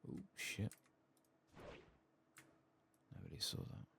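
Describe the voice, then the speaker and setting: A man giving soft-spoken ASMR commentary on a game, sometimes dropping into a whisper. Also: takes it slowly. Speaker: gaming ASMR streamer. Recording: faint keyboard and clicking noise.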